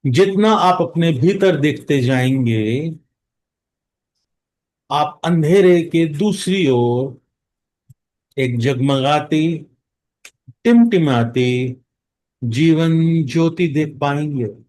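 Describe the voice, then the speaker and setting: Very slow-speaking Indian man guiding meditation. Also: on the high side. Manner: calm, instructive, long pauses between short phrases. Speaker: guided meditation instructor. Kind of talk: guided meditation, mixing Hindi and English.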